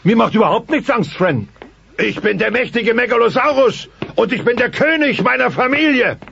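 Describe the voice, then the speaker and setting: Indignant dinosaur father, gravelly, theatrical, pompous, sentimental, German sitcom audio drama, muffled cassette sound. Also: extremely high pitch, lively intonation, moderate pace, sharp tone, slight background noise, exaggerated voice. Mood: blustering. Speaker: voice actor. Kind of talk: German dubbed family sitcom audio play. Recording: dull cassette transfer with music.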